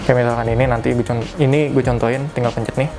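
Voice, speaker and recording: A man's voice: mid-range voice, level tone, fast. A young man gives a casual step-by-step tutorial in a conversational, colloquial way, often correcting himself. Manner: conversational, repeats colloquial tags and self-corrects. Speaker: product reviewer giving a tutorial. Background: music.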